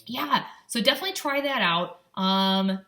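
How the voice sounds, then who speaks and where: A woman's voice: a little high, expressive, moderate pace, bright, forceful tone. A young woman from Michigan with a light Upper Midwest accent, chatting encouragingly in a casual vlog with plenty of ums and likes. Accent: Upper Midwest American. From Michigan, USA. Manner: upbeat, encouraging, chatty with many fillers. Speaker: vlogger.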